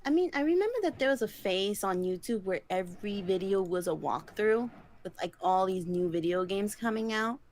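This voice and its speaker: A woman's voice: mid-range voice, animated, moderate pace, darkish tone. A young woman co-hosting a casual podcast chat, rambling along with plenty of likes and ums and giggling often. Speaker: podcast co-host.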